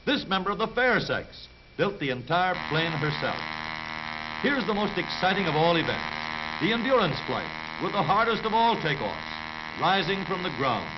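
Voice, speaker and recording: An American man narrates a 1930s newsreel in a jaunty, dramatic showman style. His fairly high voice rises and falls with great animation. The old soundtrack is slightly dull. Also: very animated, moderate pace, heavy background noise.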